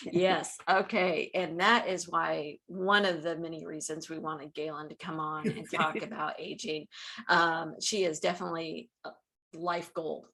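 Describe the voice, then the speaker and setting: A woman in her fifties hosts a podcast interview. Her voice sits somewhat low as she introduces topics and puts questions to her guest. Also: fairly bright tone. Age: 50s. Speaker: podcast host.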